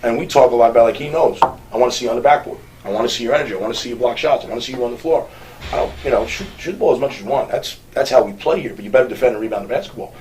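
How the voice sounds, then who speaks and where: Quick-talking coach guy, sounds a bit off the mic, faint room hum. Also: medium pitch, distant mic, low-quality recording. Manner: fast, animated, laughs while quoting players. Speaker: head basketball coach. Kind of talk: casual interview chat.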